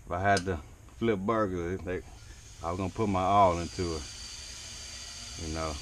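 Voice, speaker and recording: A man's voice: sits low, fairly even intonation, moderate pace, a bit bright, a little hiss or hum. A young man working as a HVAC technician, talking casually and encouragingly while he works in a walk-in cooler, recorded on a head-mounted camera. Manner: laid-back, chatty, encouraging. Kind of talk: casual work vlog talk.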